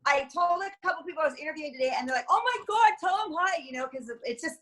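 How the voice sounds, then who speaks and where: A woman's voice: high voice, expressive, fast, a bit bright. A woman in her fifties, co-hosting on a video call, chatting in a bubbly, laughing way. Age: 50s. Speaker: co-host. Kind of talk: casual video call chat. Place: home room with bookshelves.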